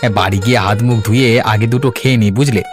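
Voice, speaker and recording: A male actor voices a young working villager in a cartoon, hearty and emphatic. He rattles along quickly in a medium-pitched voice, with prominent plucked-string music behind him. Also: close to an everyday voice. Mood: brisk, hearty. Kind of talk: Bengali cartoon voice acting.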